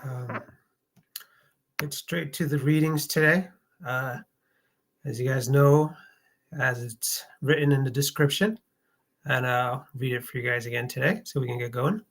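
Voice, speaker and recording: Male live stream host, mid-range pitch, casual and hesitant, thinking out loud. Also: fairly even intonation, unhurried, long pauses.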